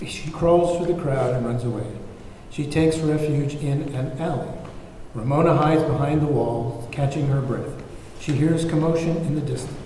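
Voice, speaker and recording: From far off in a very echoey hall, a man narrates a screenplay. His voice is slightly high and mellow, with faint noise around it. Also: normal speed. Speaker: table read narrator.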